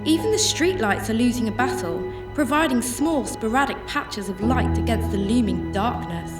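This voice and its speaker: A woman's voice: fairly high pitch, expressive, moderate pace, close to an everyday voice. A woman playing an anxious, tender heroine who narrates her own story in a radio drama with earnest, descriptive delivery. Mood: tense. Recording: echoey, distant-sounding with music bed.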